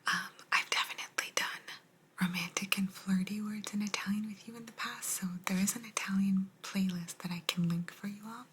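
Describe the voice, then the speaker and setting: A woman giving a language lesson in a soft, breathy ASMR whisper, gently repeating words. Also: medium pitch, steady tone, a little hiss or hum. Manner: slow, gentle repetition of words. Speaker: host teaching Italian vocabulary.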